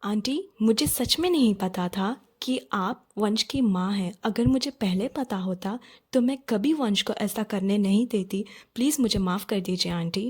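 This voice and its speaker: A woman's voice: mid-range voice, measured pace, few pauses, breathy edge. A woman playing a principled, indignant young city wife in a cartoon moral story, earnest and confronting, mixing in English. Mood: apologetic, caring. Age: young adult.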